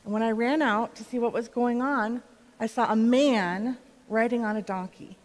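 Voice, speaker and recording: Excited woman of ancient Bethany, played by a church performer, fairly high voice, storytelling straight to listeners in a reverberant hall. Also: moderate pace, lightly acted. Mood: awed, eager. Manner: storytelling, addressing listeners directly. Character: excited woman of Bethany, Lazarus's sister, witnessing Jesus. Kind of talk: dramatic monologue in a church performance.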